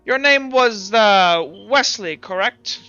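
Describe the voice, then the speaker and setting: A man's voice: high-pitched, expressive, takes it slowly, bright, forceful tone, clean tone, no echo, dull audio, soft background music, voice pushed up, heavily acted. A man voicing a patronizing older doctor in a tabletop game, dismissive and falsely soothing. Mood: brusque. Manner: pompous, patronizing, addresses the young as dear boy.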